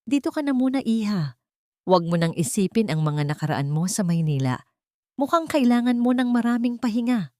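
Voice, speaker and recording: Woman playing a soft-spoken, motherly old landlady, pitched up. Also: expressive, normal speed, no echo, studio quality, close to an everyday voice. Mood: soothing concern. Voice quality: soft, gentle. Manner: soothing, caring.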